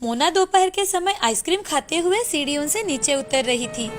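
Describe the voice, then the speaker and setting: Give the narration to a woman with a high-pitched voice. She reads a scripted moral tale as its storyteller. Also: animated, normal speed, faint music behind.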